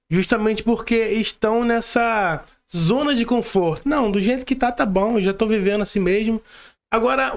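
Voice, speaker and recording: A Brazilian man hosting a podcast, asking questions and commenting in a casual, conversational way. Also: very high voice. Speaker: podcast host, interviewer.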